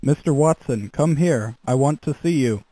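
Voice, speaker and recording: Guy saying a test line, dull mellow tone, dry, crunchy phone call effect on it. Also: medium pitch, moderate pace, no room sound. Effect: lo-fi telephone filter being built up. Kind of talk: short recited test phrase.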